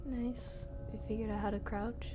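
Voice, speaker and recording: A woman's voice: a little high, flat delivery, moderate pace, music in the background. A woman giving casual, reactive live gameplay commentary, talking to herself and her viewers. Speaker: game streamer.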